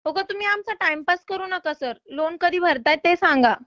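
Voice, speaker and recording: Very high-pitched, insistent woman. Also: moderate pace, pushed and bright, dry recording. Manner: insistent, polite but exasperated. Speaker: loan recovery caller. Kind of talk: phone collection call. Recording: slightly dull phone-call audio.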